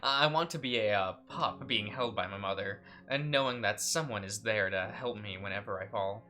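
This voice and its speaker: A man's voice: fairly low pitch, fairly quick, sharp tone. A young man hosting a let's play, chatting casually, laughing often, and reading game text aloud with frequent stumbles.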